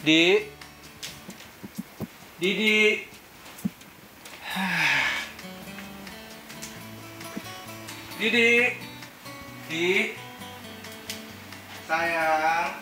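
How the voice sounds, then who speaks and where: A man's voice: high-pitched, drawn-out words, long pauses, sharp tone, reverberant, faint music behind, voice pushed up, full character voice, rough recording. A man voicing a bewildered young newlywed husband, calling out plaintively for his wife.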